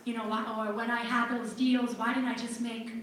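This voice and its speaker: A woman from Cape Cod asks a question from the audience, energetic and chatty, with a bright, forceful tone. Her voice sits a little high. It comes from afar in a very echoey hall. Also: brisk, a little hiss or hum. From Cape Cod, United States. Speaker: real estate agent asking a question. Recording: echoey hall, far from the mic.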